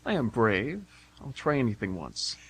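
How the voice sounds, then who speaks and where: A man's voice: medium pitch, very animated, moderate pace, fairly bright tone, breathy edge, heavily acted, poor audio. A man playing a nervous, kindly, awkward young man on a date in an amateur radio play, hesitant and earnest. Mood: curious, game. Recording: hissy, humming amateur radio broadcast.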